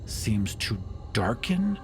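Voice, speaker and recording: A man narrating a tabletop roleplaying game as game master, with dramatic, deliberate phrasing. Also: mid-range voice, very animated. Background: music.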